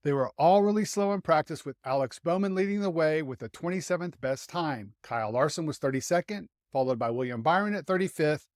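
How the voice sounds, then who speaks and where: A man's voice: fairly high pitch, expressive, fairly quick, hardly any pauses, darkish tone, no room sound, clean studio sound. A man hosting scripted motorsports commentary with an opinionated, wry delivery.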